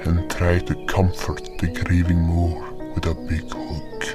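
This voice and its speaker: A man reading a scripted first-person story as its narrator, in a solemn, measured manner. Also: low-pitched, monotone. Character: solemn animal guardian spirit telling its tale. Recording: music behind the voice. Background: music.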